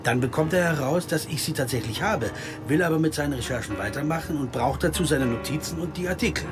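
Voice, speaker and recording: A man's voice: fairly high pitch, lively intonation, fast, close to an everyday voice. An actor playing an earnest American man who investigates a disappearance and narrates his search in a radio crime drama. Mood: intent, brooding. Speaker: first-person narrator and lead character. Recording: music behind the voice.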